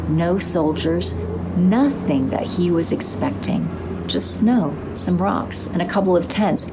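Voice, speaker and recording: A woman reading scripted narration as the narrator of an audio drama. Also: on the low side. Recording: clear background noise, sound effects.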